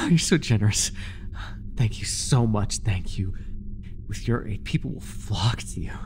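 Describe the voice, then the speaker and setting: Male actor as a fawning dark-cult priest, reverent, stammering, breathy, eager to please, audio roleplay, noticeable background noise. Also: mid-range voice.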